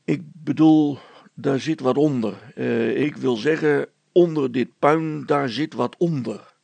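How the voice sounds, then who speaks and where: A man's voice: mid-range voice, slow, creaky, darkish tone, no room sound. A man voicing a self-important, gossipy village policeman who talks in a hesitant, confiding and bossy way in a storybook reading. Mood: secretive. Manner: hesitant, confiding, bossy, stammering over words.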